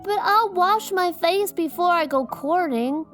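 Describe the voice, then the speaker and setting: High-pitched, chattering little boy. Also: measured pace, a bit bright, soft background music. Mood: earnest. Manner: childlike chatter with slangy, ungrammatical wording. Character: talkative eight-year-old boy, earnest, mischievous and curious. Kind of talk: dramatized audiobook character acting.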